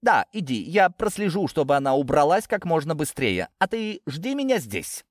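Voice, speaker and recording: A man's voice: fairly high pitch, sing-song, moderate pace, dry recording, studio quality, voice pushed up. A man voicing a furious, spiteful middle-aged wife who shrieks and snaps with contempt in an audiobook drama. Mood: curt, scornful.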